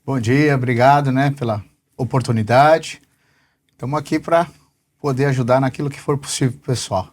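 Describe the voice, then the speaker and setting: A man in his forties, a business director being interviewed, answering in an unhurried way and pausing often on fillers. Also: mid-range voice, steady tone. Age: 40s. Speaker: podcast guest, company sales director.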